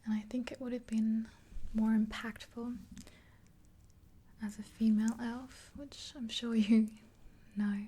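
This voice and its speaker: A woman in her twenties whispering gently and breathily in an ASMR roleplay, close to the mic. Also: a little high, level tone, husky. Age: 20s. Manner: gentle, unhurried. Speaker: ASMR creator playing a makeup artist. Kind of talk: ASMR roleplay, soft rambling chat. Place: small indoor room. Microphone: close mic, face near camera.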